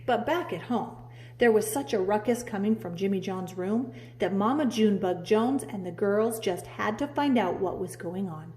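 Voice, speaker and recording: A woman's voice: mid-range voice, animated, normal speed, darkish tone. A woman reading a children's storybook aloud like a preschool teacher, dramatically drawing out key words. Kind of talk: expressive children's storybook narration.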